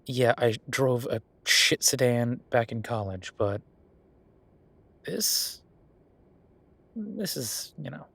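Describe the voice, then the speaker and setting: A man's voice: mid-range voice, very animated, slow, gravelly, long pauses, fairly bright tone, husky, heavily acted. A man playing a friendly, self-deprecating young billionaire in a casual, intimate audio roleplay, rambling informally and playfully defensive as he talks to the listener.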